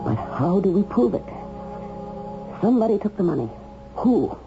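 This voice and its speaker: A woman's voice: mid-range voice, big pitch swings, moderate pace, creaky. An anxious, loyal wife in radio drama. Her voice rises and falls widely as she urgently questions. The old recording is dull, with music in it. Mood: anguished. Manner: urgent, probing.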